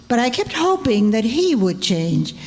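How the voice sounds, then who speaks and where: Woman playing an eccentric rich aunt, high-voiced, echoey hall. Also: sing-song, measured pace, a little mellow. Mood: wistful, earnest. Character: eccentric, wealthy, wilful older aunt, sly and affectionate. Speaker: amateur radio drama player. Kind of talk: old-time radio play acting. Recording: reverberant hall, stage performance.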